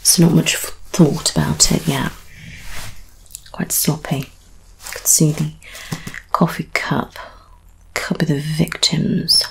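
A woman in her thirties with a British accent playing a methodical crime scene investigator in a soft, partly whispered ASMR roleplay. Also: low-pitched, little pitch movement, slow pace, gravelly, exaggerated voice, poor audio. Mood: methodical, focused.

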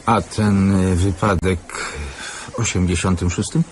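A man's voice: sits low, steady tone, a little slow, a little hiss or hum. A man playing an inquisitive, persistent investigator in a radio play, putting probing, slightly hesitant questions. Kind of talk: Polish radio play acting.

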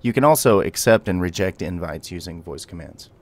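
A man's voice: low voice, animated, brisk, faint music behind. A man giving a gameplay tutorial, mixing clipped spoken commands with casual explanation. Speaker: tutorial host.

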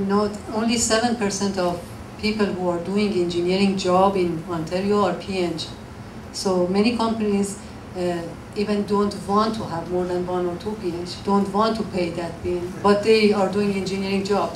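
A woman in her forties speaking on a panel with a handheld mic, earnestly giving newcomers practical job advice. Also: mid-range voice. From came to Canada as a newcomer. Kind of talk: panel discussion. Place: classroom panel table. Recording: echoey classroom, faint noise.

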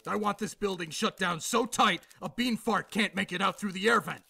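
Gravelly, hot-tempered police boss. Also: male voice, high voice, normal speed, sharp tone, no room sound, exaggerated voice. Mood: exasperated anger. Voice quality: gravelly, harsh. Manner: barking, sarcastic. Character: exasperated, short-tempered police boss, twenty years on the force. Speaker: actor in radio drama. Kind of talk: radio drama acting.

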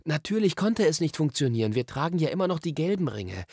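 An eager, bossy schoolboy, acted out by a male storybook reader, explains his ideas with impatient excitement. The voice is high and very lively, with a slightly gravelly edge. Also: fast talker, no echo, studio quality, full character voice. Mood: eager, explaining. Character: eager, curious, bossy young schoolboy explorer.

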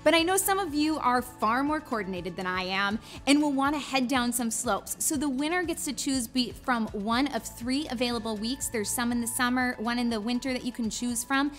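Woman auction host, kinda high voice, chatty and encouraging, music behind her. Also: moderate pace, few pauses, fairly bright tone. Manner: chatty, encouraging, urging bids. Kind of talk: live fundraising auction presenting. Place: studio set.

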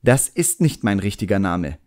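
A man's voice: on the low side, very animated, moderate pace, darkish tone. A man voicing a proud young boy magician in an audiobook, stiff, pompous and commanding. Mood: defensive.

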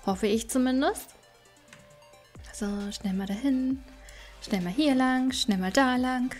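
High-voiced woman chatting through a game. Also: slow pace, darkish tone, faint music behind. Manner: chatty, thinking aloud. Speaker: let's player. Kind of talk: casual gameplay commentary.

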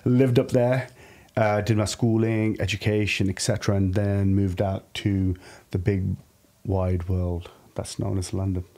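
A man's voice: fairly low pitch, level tone, measured pace, hardly any pauses, mellow. A man in his early thirties from Pakistan, talking casually and candidly as a podcast guest in a reflective, informal way. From Lahore, Pakistan. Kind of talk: casual personal storytelling. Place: podcast studio. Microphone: studio podcast microphone.

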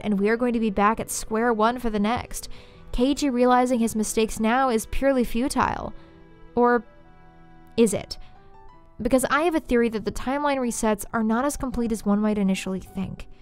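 Female video essayist, fairly high voice, dramatic scripted narration with deliberate pauses, music bed. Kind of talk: scripted story analysis narration.

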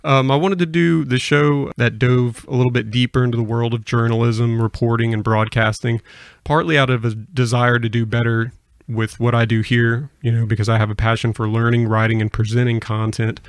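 Male podcast host with even delivery. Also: mid-range voice, fairly quick, no echo, studio quality. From US Gulf Coast. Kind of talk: conversational interview.

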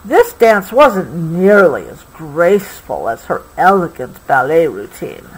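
A woman reading a picture book aloud to a child, patiently pausing to explain things along the way. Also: mid-range voice, slight background noise, poor audio. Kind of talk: expressive storybook read-aloud with explanatory asides.

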